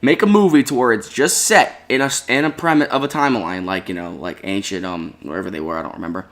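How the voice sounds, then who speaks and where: A man co-hosting a casual chat, speaking loosely and haltingly with many fillers. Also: fairly low pitch, a bit bright. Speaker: podcast co-host.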